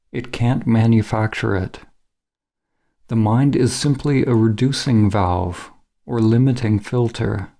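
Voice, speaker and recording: A man narrating a scripted guided meditation in a measured, solemn, instructional manner as the guide. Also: fairly low pitch, vocal fry, warm tone.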